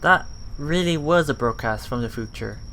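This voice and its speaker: A man reading a visual novel aloud as a let's play host, halting and self-correcting, with muttered casual asides. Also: fairly high pitch, expressive, vocal fry, pushed and bright, slight background noise, low-quality recording.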